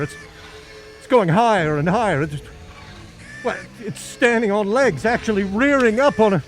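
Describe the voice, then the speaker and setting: An actor playing an alarmed field reporter in a radio drama, halting and breaking off mid-phrase as he describes what he sees. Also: sits high, low-quality recording. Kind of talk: live radio drama acting.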